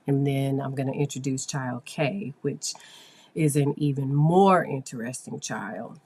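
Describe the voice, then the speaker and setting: A hoarse woman hosting a psychology podcast, talking casually and explaining things with fillers. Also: a bass voice, a little mellow. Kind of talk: casual solo podcast talk.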